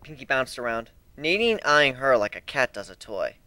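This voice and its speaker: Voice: mid-range voice, very animated, normal speed, background noise. A person narrating a fan fiction story as a dramatic reader.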